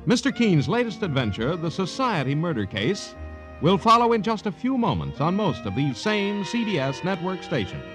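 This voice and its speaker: High-pitched American radio announcer over loud music. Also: male voice, wildly swooping pitch. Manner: formal, theatrical, measured announcing. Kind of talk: 1940s-50s network radio announcing, scripted.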